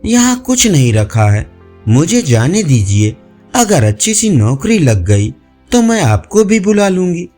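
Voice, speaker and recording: A man's voice: a little high, big pitch swings, unhurried, warm tone, soft background music. A man voicing an earnest, shy young village woman in an audio story, politely persuading. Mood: pleading.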